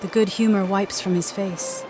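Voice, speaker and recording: A woman's voice: mid-range voice, fairly even intonation, moderate pace, over music. A woman reading scripted story narration for a video game playthrough. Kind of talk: scripted story narration with voiced player lines.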